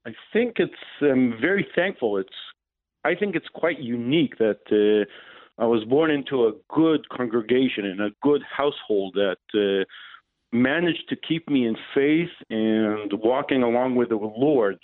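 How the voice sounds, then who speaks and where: A man's voice: mid-range voice, animated, no echo. Israeli man on a tinny phone line. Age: 40s. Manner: hesitant, many pauses and "uh" fillers. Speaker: radio interview guest, ministry leader. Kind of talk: casual radio interview answers.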